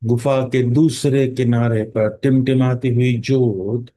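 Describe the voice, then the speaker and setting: A man leading a guided meditation in a calm, instructing manner, with long pauses and drawn-out words. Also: medium pitch. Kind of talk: guided meditation in Hindi mixed with English phrases.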